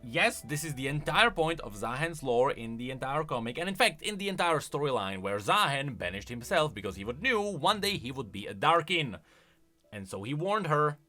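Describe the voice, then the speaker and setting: A man's voice: medium pitch, very animated, normal speed, pushed and bright, soft background music. A man hosting a video, giving off-the-cuff, emphatic commentary that explains game lore.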